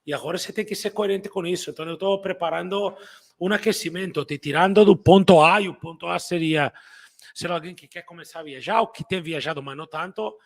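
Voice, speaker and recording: This is a middle-aged man who learned Portuguese in Brazil, so he speaks it with a non-native, Brazilian-tinged accent. His voice sits a bit high and gravelly, with a husky edge. He talks casually to his audience, circling back, repeating himself and tagging sentences with "né?". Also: brisk. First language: not Portuguese. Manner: rambling, repeats words and restarts, frequent "né?". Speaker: travel club presenter. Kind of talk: casual talk to viewers.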